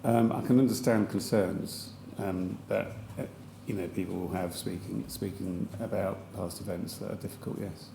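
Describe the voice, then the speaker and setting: A man with a slightly croaky voice, giving hesitant formal testimony as a chief executive at a public hearing, with many fillers and restarts. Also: low-pitched, fairly even intonation, normal speed, mellow, slight background noise. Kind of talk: formal testimony under questioning. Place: large public hearing hall. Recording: echoey hall with faint ambience.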